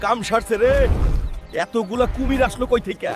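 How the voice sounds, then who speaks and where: A man voicing an excitable, panicky cartoon villager, urgent and exclamatory, in Bangladeshi speech. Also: very high-pitched, moderate pace. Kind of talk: comic cartoon dub. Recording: loud music over the voice.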